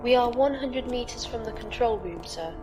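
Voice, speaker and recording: A woman voicing a formal, obedient female ship AI who serves as a squire, reporting in a precise, flat way. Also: on the high side, normal speed, music in the background, lightly acted. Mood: dutiful. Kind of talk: audio drama acting.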